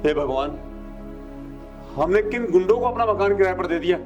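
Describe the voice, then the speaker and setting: A man's voice: high voice, animated, moderate pace, muffled recording, soft background music. A man playing an anxious, exasperated elderly landlord in a melodramatic short film. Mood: distressed.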